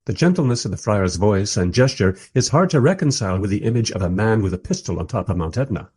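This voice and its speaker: A man playing a wry documentary maker, narrating a radio play in the first person with expressive, husky delivery. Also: lowish voice, fast talker, dry recording. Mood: hesitant, wry. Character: wry, enthusiastic documentary maker narrating his historical encounters.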